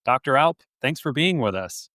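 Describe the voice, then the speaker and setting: An American man hosting a podcast interview in a conversational way, often halting mid-question. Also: medium pitch, lively intonation, bright, forceful tone, dry recording, studio quality. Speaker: podcast host, chief executive.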